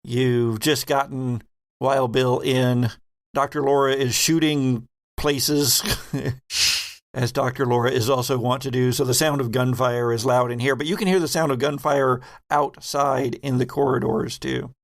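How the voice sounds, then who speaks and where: A man's voice: mid-range voice, steady tone, measured pace, no room sound, clean studio sound. A man narrating a horror sci-fi tabletop game as game master, with wry, vivid description.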